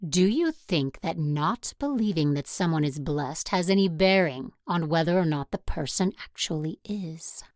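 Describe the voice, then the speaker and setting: A woman playing a stern religious school teacher in an audio drama, addressing the class in a deliberate, pointed manner. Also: low voice, expressive, darkish tone, no echo, studio quality. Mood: pointed, probing.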